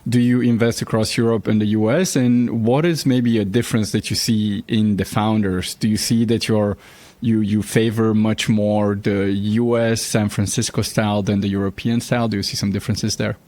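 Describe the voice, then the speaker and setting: A man's voice: fairly low pitch, fairly even intonation, normal speed, mellow, dry recording. A man hosting an interview, asking casual questions and informally feeling his way into them. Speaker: podcast host, interviewer.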